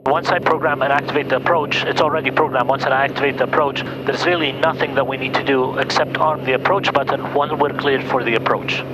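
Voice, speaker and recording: A man working as a pilot, calmly explaining procedures step by step and making radio calls over an aviation headset. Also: mid-range voice, a little fast, hardly any pauses. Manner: methodical, explanatory. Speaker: pilot instructing a guest. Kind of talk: cockpit instruction and radio calls. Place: small turboprop aircraft cockpit in flight. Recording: loud aircraft cabin noise.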